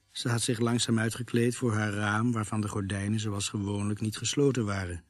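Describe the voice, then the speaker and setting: An actor playing a police constable who narrates a radio drama in a measured, grave way with a husky voice. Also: male voice, fairly low pitch, a little mellow, no room sound. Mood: sombre. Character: male police constable grimly narrating a murder case.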